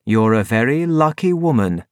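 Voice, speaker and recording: A reader voicing a composed male detective who explains and gives orders in measured tones. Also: medium pitch, no room sound, clean studio sound, close to an everyday voice. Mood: calm. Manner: calm, measured, authoritative. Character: calm, commanding male detective.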